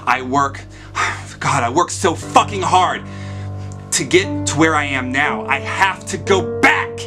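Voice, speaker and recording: An actor playing a menacing, sarcastic criminal who swings between quiet threat and outburst in a film drama. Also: male voice, a little high, animated, slow. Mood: desperate, threatening. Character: menacing, volatile, sarcastic male criminal boss. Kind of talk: dramatic film acting, profane dialogue. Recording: roomy echo, music behind.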